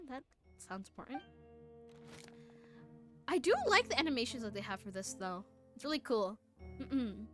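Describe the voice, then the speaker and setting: A woman livestreaming a game with casual commentary, muttering asides and haltingly reading text aloud, correcting herself as she goes. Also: sits high, a bit bright. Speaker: live game streamer. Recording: music playing behind the voice. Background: game music.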